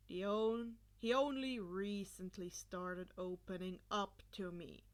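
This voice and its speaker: A woman voicing a nonchalant, reserved husband in a story, with a deadpan, low-key delivery.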